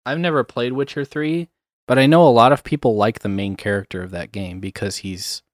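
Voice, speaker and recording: A man's voice: medium pitch, steady tone, fairly quick, no room sound, studio quality. A man chatting casually about games, rambling with many fillers and chuckles. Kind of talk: casual chat.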